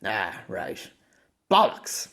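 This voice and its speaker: A man voicing a gruff, hot-tempered retired soldier who growls out angry outbursts. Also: lowish voice, very animated, drawn-out words, pushed and bright, pitched below the performer's own voice, exaggerated voice. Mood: gruff frustration.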